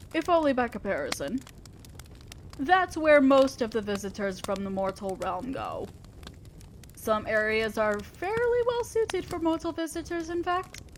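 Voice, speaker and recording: A woman's voice: high-pitched, slight background noise. A woman playing a refined, teasing demon lord in an audio roleplay, addressing a mortal servant with genteel, indulgent, theatrical playfulness.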